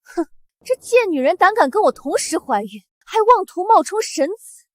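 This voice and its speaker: Arrogant noblewoman villain; high, lively, scornful delivery over drama score. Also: normal speed, dry recording, studio quality. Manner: sneering, haughty, scornful. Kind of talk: costume drama acting.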